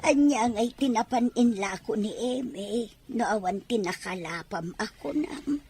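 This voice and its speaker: A woman playing a gentle, worried middle-aged village wife and mother in a Filipino radio-style drama. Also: a little high, measured pace, faint music behind. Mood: tender, concerned.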